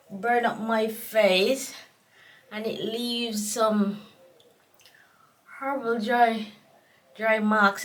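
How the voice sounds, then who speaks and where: A middle-aged woman with a Jamaican accent, vlogging casually while congested from a cold, talking in a slow, tired, rambling way. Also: medium pitch, recorded from a distance. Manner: slow, tired, rambling talk with many fillers. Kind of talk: casual vlog chat.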